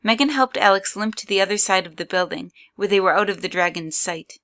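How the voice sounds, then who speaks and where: A woman's voice: medium pitch, fairly quick, a bit bright. A woman reading scripted third-person story narration as the narrator of an audio drama.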